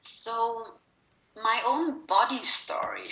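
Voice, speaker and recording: A woman's voice: fairly high pitch, slow, fairly bright tone, reverberant, poor audio. A woman with a Danish accent telling a personal story as an interview guest, earnestly and emphatically, repeating phrases for stress. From Denmark. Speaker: interview guest, wellness speaker and coach.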